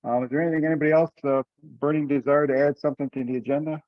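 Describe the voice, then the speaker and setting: A man chairing an informal online meeting in a relaxed, colloquial way. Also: fairly high pitch, rapid-fire, no room sound. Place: online video conference call. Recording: dull, lo-fi call audio.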